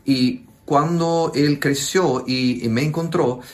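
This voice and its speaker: A man's voice: on the high side, steady tone, slow. A man, an Italian priest with an Italian accent, speaking Spanish as a second language and telling his testimony in a hesitant way, often searching for words. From Italy. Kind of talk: radio interview testimony.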